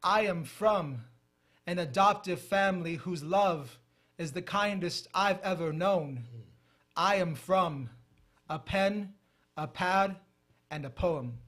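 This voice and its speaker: A man from the United States, a poet guest, who recites verse in a measured rhythm and speaks more quickly and trails off in conversation, in a TV talk studio. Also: high-pitched, a bit bright. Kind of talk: poetry recitation and interview answers.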